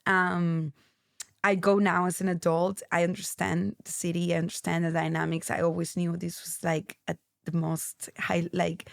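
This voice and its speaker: A woman in her late twenties with a Venezuelan accent, talking as a guest in a loose, rambling way with restarts. Her voice sits low and has a gravelly fry to it. The sound is dry and close, with no room around it. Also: level tone, normal speed, no room sound. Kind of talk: casual interview chat.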